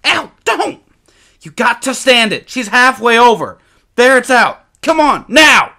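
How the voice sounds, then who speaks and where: A man voicing excitable, bickering young twin boys in storybook dialogue, taunting and exclaiming. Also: sits high, moderate pace, pitched above the performer's own voice. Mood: panicked.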